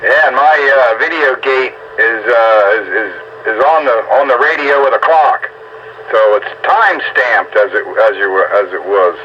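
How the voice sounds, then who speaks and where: A man's voice: mid-range voice, a little slow, pushed and bright. A man chatting casually with fellow radio operators. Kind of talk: casual two-way radio chat. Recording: muffled radio audio with faint static.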